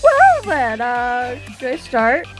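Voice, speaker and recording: Animated, highish-voiced woman gamer. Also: takes it slowly. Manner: excitable reactions, slangy. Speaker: gaming streamer. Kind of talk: casual gameplay commentary. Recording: game music behind the voice. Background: video game music and sounds.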